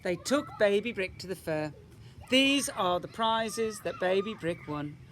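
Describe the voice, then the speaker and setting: Animated man reading a picture book. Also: high-pitched, a little slow, a little hiss or hum. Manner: emphatic, with rhetorical questions and asides. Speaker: teacher reading to young children.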